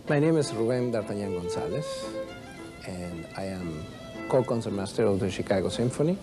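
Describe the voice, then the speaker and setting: A man's voice: lowish voice, animated, fairly quick. A man from Argentina, an orchestra violinist speaking earnestly and measuredly in a documentary interview. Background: music.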